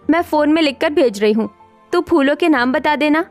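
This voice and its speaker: Fretful, chatty young woman for cartoon story played by a female actor, high register, soft music behind. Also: lively intonation, moderate pace. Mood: flustered. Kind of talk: animated Hindi story dialogue.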